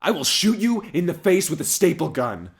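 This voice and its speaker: A man's voice: high voice, sing-song, moderate pace, breathy edge. A man giving sarcastic, wisecracking scripted commentary as he recaps a show. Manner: mocking, wisecracking. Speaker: comedic reviewer narrating an episode summary. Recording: music behind the voice. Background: music.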